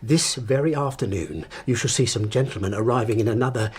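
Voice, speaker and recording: An actor playing a secretive, volatile English husband in a radio drama, with a theatrical manner and grandiose tastes. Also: male voice, mid-range voice, a little fast, a little hiss or hum, natural voice. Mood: jubilant, boastful. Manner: theatrical, volatile, given to nervous laughter.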